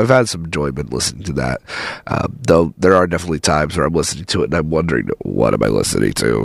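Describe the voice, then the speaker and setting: A young man hosts a college radio show while congested, his low voice raspy and full of fry. He apologizes for how he sounds and pushes on anyway, speaking close and dry into a studio microphone. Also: no echo. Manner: apologetic, pushing on through feeling unwell. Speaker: radio host. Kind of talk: casual radio hosting.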